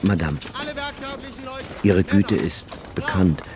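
An actor playing a solemn, courteous clergyman in a radio play, with formal, measured and grave speech. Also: deep voice, crowd noise.

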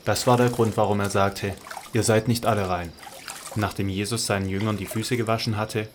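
A man narrating a scripted radio drama in a measured, even way. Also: fairly low pitch, background noise.

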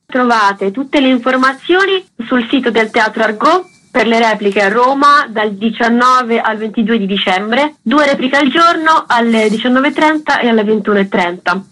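A woman's voice: fairly high pitch, animated, normal speed, a bit bright, low-quality recording. A woman talking casually in an interview as a theatre guest.

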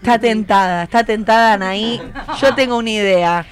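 A woman's voice: mid-range voice, moderate pace. A woman with an Argentine Rioplatense accent hosting a radio show in a conversational interviewing style, prompting her guests with questions. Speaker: radio host.

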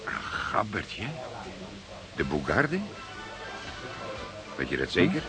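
Seasoned male detective, radio drama. Very deep, dry, deliberate, persistent; background music. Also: big pitch swings, full character voice. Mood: probing. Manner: deliberate, dryly formal, spells out words. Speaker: actor as police detective. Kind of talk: Dutch radio play acting.

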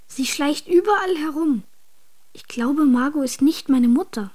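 A woman's voice: high voice, lively intonation, measured pace, darkish tone. A female performer playing an aggrieved, secretive child in a radio drama.

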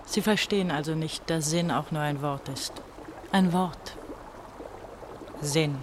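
An actress playing a bitter, feverish eighteen-year-old East German girl in a German radio play, brooding and halting in her delivery. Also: deep voice, noisy background. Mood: weary despair.

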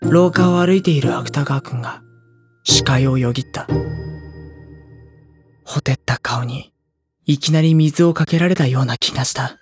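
A man plays a teenage schoolboy in an audio drama, telling the story from inside his own head. He is hesitant and brooding, an earnest, mid-pitched voice with music clearly playing behind him. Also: unhurried, long pauses, lightly acted. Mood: tense, brooding. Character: anxious teenage schoolboy narrator, introspective and hesitant. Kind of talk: Japanese audio drama acting and inner-monologue narration.

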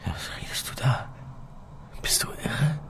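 An actor with a standard German accent playing an embittered, disbelieving middle-aged police detective in a radio drama, with a blunt, sardonic way of talking. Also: male voice, unhurried, a bit bright, in a whisper, lightly acted. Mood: disbelieving, irritated.

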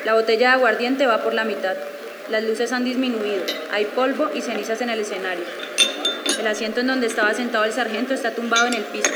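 A very noisy live recording with clinking behind the voice. A woman with a fairly high voice reads stage directions as scripted radio-play narration. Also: steady tone, fast.

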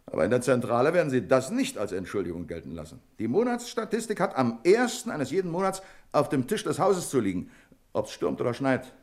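Formal, animated male boss. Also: mid-range voice, a little fast. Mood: fretful. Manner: formal, theatrical, exclamatory. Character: formal, easily dismayed male office boss. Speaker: actor in a radio play. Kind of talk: German radio crime drama acting.

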